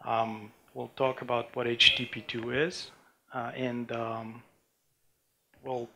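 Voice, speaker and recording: A man from Bulgaria, giving a technical conference talk with a live demo and talking in a halting way, with many restarts and fillers. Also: mid-range voice, flat delivery, creaky, noisy background. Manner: halting, frequent restarts and fillers while demoing. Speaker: conference speaker. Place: conference room before an audience.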